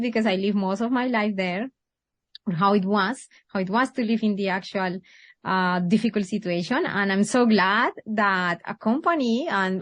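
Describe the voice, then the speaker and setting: Enthusiastic woman podcast host. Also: mid-range voice. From Colombia. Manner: enthusiastic, effusive. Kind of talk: interview hosting.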